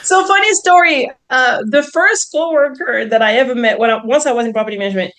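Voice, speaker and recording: A woman in her thirties from France with a French accent, telling her story in a chatty, expressive way as an interview guest. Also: high-pitched, fast. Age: 30s. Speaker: podcast guest, real estate investor.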